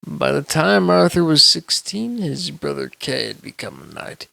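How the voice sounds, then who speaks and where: Male bedtime storyteller: heavy vocal fry, highly animated intonation, dramatic and measured. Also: no echo. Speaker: storyteller reading aloud.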